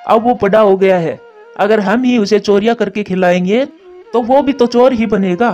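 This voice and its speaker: A man's voice: high voice, moderate pace, darkish tone, natural voice. Earnest father crow, voiced by a man, muffled audio. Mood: earnest, concerned. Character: male crow, concerned scolding father and husband. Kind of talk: Hindi cartoon voice acting. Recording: muffled narrow-band audio over music.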